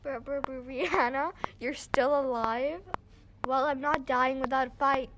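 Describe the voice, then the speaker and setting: A woman's voice: high-pitched, steady tone, unhurried. A teenage girl playing a scheming, defiant female teacher villain in an amateur audio drama. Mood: feigned innocence, defiant. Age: young teenager. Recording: noisy amateur student recording.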